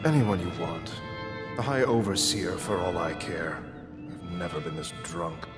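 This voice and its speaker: An actor playing a drunk, bitter, lecherous aristocrat in a video game cutscene, languid and sneering. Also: male voice, deep voice, measured pace, reverberant, long reverb tail, over loud music. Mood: drunken indifference.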